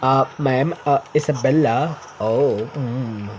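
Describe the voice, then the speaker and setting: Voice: mid-range voice, slow pace, a little mellow, lo-fi sound. A streamer narrates a visual novel in a let's play, with some noise behind the voice. The reading is casual and stumbles, with self-corrections, swearing and offhand remarks. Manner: stumbles over words, corrects misreadings, swears.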